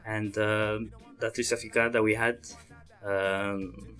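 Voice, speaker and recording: A man's voice: on the low side, level tone, fairly bright tone, faint music behind. A man in his early twenties from Montreal, Canada, giving earnest, hesitant interview answers as a student leader. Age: early 20s. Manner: halting, hesitant phrasing. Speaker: interviewee, student association chairman.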